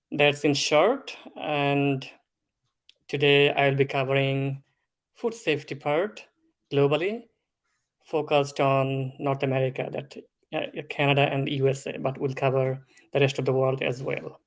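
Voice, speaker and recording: Man in his 30s from Bangladesh, consultant guest, slow careful explainer. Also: mid-range voice, fairly even intonation. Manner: slow, measured, explanatory with filler ums. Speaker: food safety consultant, guest. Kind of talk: interview answers.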